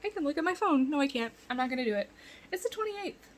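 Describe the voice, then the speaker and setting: A woman's voice: high voice, animated, fast. A woman vlogging in a casual chat from bed at home, congested and rambling, often laughing.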